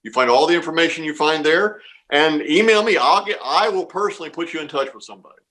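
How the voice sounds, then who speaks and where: Male interviewee, slightly high voice, informal explainer, reassuring, repeats himself. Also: fast, a bit bright, poor audio. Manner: reassuring, repeats words and phrases. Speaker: amateur radio contest organizer being interviewed.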